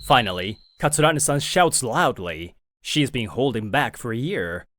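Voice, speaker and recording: A man narrating as a young company president, telling the story in a lively way. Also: mid-range voice, normal speed, no echo, studio quality, close to an everyday voice. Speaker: narrator and lead figure. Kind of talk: manga video narration.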